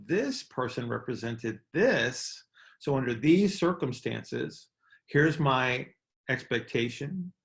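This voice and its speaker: A man's voice: medium pitch, gravelly, fairly bright tone. A middle-aged man hosting a casual coaching call, explaining emphatically, repeating key words and checking in with "right?". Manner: explanatory, emphatic word repetition, frequent "right?" check-ins.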